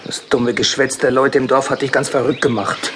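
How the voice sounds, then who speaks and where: A man's voice: medium pitch, steady tone, normal speed, a bit bright, a little hiss or hum, lightly acted. An actor playing an impatient, skeptical young man in a German horror audio drama, brusque and urgent. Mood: impatient, dismissive.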